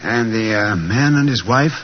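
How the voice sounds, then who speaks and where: An actor playing a shrewd, self-possessed gentleman detective with a British accent, speaking briskly and precisely in 1940s radio drama style. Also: fairly low pitch, animated. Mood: confiding, calculating. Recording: muffled, noisy vintage radio recording.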